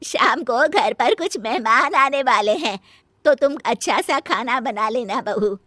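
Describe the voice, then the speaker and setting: A woman's voice: fairly high pitch, expressive, a little slow, fairly bright tone. A woman voicing a commanding mother-in-law in a family comedy cartoon, handing out orders in a matter-of-fact way. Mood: instructing. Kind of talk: acted Hindi family comedy story.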